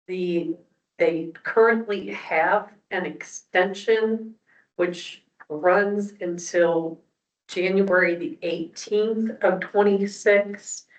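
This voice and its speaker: A woman speaks as a commission member, presenting cases and motions formally in a halting, procedural way at a public meeting. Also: fairly low pitch. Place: indoor public meeting room. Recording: distant mic, roomy echo, dull sound.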